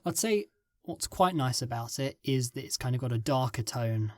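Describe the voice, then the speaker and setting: Male podcast guest, mid-pitch, halting and filler-heavy, dry close sound. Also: animated, dry recording. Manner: hesitant, filler-heavy, trails off mid-thought. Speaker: guest script writer. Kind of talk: casual podcast interview.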